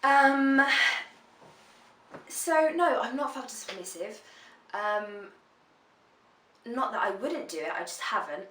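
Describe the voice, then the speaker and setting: A woman's voice: on the high side, lively intonation, slow pace, pauses often, fairly bright tone, a little hiss or hum. A woman answers viewer questions in a frank, chatty vlog, swearing freely. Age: 20s. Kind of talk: casual vlog Q&A. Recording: roomy echo, voice a bit far from the mic.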